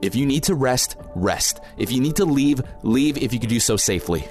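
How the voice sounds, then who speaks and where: A man's voice: medium pitch, lively intonation, moderate pace, darkish tone, faint music behind, close to an everyday voice. A man playing an experienced, protective protest organizer in an audio drama, plain-spoken, informal and matter-of-fact. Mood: firm briefing. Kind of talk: naturalistic audio drama acting.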